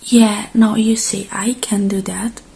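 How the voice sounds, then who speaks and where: A woman doing a gentle, unhurried ASMR roleplay, speaking softly and slipping into breathy whispers close to the microphone. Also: mid-range voice, little pitch movement. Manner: gentle, unhurried, murmuring to the listener. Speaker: ASMR creator playing a robot repair technician.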